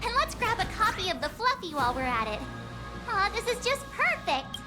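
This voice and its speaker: Cheeky young adventurer girl, very high, lively delivery, game music under. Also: brisk. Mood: perky. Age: young adult. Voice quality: bright, bouncy, playful. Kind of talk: video game voice acting. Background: game soundtrack music.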